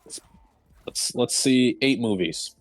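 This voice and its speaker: A man's voice: mid-range voice, slow, no room sound, soft background music. A man hosting a casual group chat on a livestream. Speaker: round table host.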